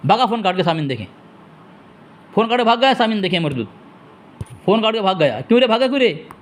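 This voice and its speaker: Male debater, high-pitched voice, scolding and combative, hammers points through repetition. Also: very animated, fast talker. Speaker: religious debater and commentator. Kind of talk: heated phone debate and polemic to listeners.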